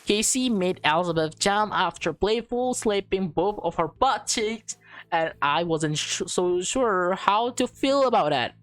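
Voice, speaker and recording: A woman reading a visual novel aloud as a gaming host, expressive and playful, stumbling over lines and correcting herself. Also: mid-range voice, unhurried. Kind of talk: let's play read-aloud with off-script reactions.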